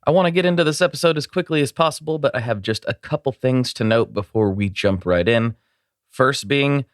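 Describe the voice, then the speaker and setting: A man's voice: mid-range voice, clear, resonant tone, no room sound, clean studio sound. Congested man hosting a podcast. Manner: rambling and apologetic. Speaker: podcast host. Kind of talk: casual podcast chat.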